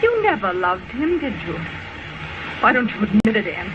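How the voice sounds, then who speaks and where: A woman's voice: mid-range voice, big pitch swings, normal speed, natural voice. A woman playing a bitter, wounded character in an old radio melodrama, with controlled theatrical delivery. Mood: bitter, accusing. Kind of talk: 1940s-50s radio melodrama acting. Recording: muffled, noisy old radio recording.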